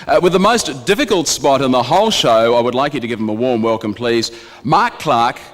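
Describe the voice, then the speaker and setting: A man's voice: medium pitch, animated, a little fast. A man presenting acts at a live song contest, reading introductions to the audience. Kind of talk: stage announcement. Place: arts centre stage. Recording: long hall reverb.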